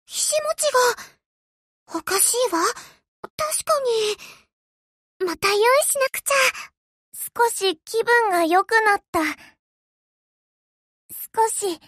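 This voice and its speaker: Very high-pitched girlish warship girl, gentle, bashful, slow, soft, anime game lines. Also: lively intonation, dry recording, heavily acted. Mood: gentle, puzzled.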